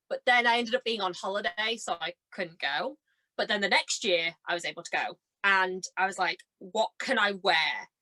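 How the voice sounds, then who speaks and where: A woman in her twenties chats casually as a podcast guest. Her voice is bright and forward, at an average pitch for a woman. She tells anecdotes in a bubbly, enthusiastic way and acts out bits of dialogue as she goes. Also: rough recording. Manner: bubbly, giggly, enthusiastic storytelling with acted-out quotes. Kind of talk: casual chat, animated anecdotes.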